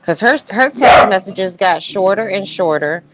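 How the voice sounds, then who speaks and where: A woman hosting a casual live stream, commenting on messages in a blunt, slangy, animated way. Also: medium pitch, a little slow, heavy background noise. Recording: dull, lo-fi stream audio.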